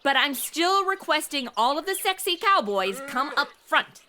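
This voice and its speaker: Comedy audio drama lead played by a woman: sassy modern American girl, bright voice, swooping sarcastic delivery. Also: high voice, moderate pace, a little hiss or hum, exaggerated voice. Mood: cheeky. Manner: sarcastic, slangy, animated. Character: sassy, bored modern young woman stuck in Old West.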